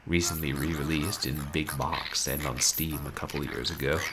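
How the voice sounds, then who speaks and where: A man in his thirties, a game streamer chatting casually at home in a wry, rambling, animated way. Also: extremely low pitch, normal speed, background noise. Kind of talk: casual livestream chat. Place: small room at home, indoors.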